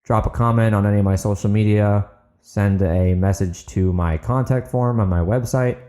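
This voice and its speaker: Low-voiced man, dark tone, podcast narrator. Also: fairly even intonation, moderate pace. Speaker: podcast host, author and narrator. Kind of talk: dramatic audiobook narration and podcast sign-off.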